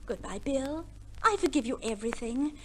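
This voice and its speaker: A very high, animated voice of a breezy society wife. She is polite and self-possessed, in a slightly noisy vintage radio comedy recording. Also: moderate pace. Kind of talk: old radio comedy play acting.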